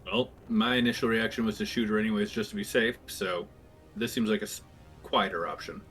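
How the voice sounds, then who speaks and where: A man's voice: fairly low pitch, fairly even intonation, fairly quick, a bit bright, faint music behind. A man playing a tabletop game, dry and matter-of-fact. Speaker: player. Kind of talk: casual tabletop chat.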